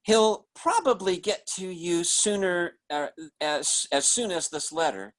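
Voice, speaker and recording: A man acting in a 1940s-style radio drama as an earnest, lovesick young oil surveyor, pleading and halting as he reads out his sentimental love letters. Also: sits high, very animated, fairly bright tone, exaggerated voice. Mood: eager, adoring.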